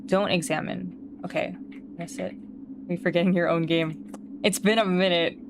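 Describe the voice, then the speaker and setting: A woman's voice: fairly low pitch, steady tone, moderate pace, noisy background. A woman livestreaming a game she made, chatting casually in a scattered, self-interrupting way. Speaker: streamer and game developer playing own game. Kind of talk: casual livestream chat with read-aloud game text.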